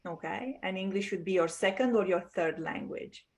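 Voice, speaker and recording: A woman's voice: mid-range voice, normal speed. Patient woman teaching an online class. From Romania. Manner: patient, explaining, checks understanding with "right?". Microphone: video call.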